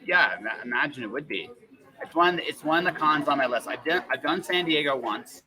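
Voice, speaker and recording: A man's voice: fairly high pitch, expressive, a little fast, crowd noise, rough recording. A man hosting a podcast in a casual, rambling way, often interrupting himself and tagging on little questions. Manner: rambling, self-interrupting, frequent tags like "right?". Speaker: podcast host.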